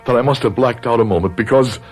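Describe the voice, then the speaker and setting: An actor playing a grave, dying older professor, narrating a radio drama in solemn, measured, haunted phrases. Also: male voice, mid-range voice, over loud music, natural voice. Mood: pained, confessional.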